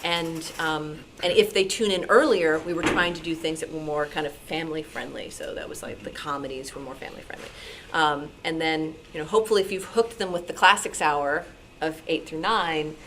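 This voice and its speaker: A woman's voice: low-pitched, steady tone, fairly quick, a bit bright. A woman speaking informally as a radio programmer on a panel, explaining decisions in a conversational, hedging way. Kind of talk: explanatory talk to an audience. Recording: noisy live meeting room recording.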